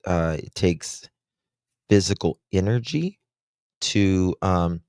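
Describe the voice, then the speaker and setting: A man hosting a true-crime livestream in casual chat, with a deep voice and a slow, halting way of talking full of fillers. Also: vocal fry, darkish tone, smooth, dry recording, clean studio sound. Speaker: livestream host.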